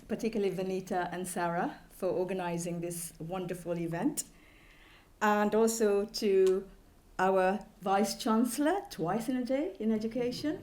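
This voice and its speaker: A middle-aged woman from Malaysia giving a public lecture as a professor, speaking graciously with hesitant pauses. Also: lowish voice, darkish tone. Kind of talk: public lecture, semi-scripted. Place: lecture hall with a live audience.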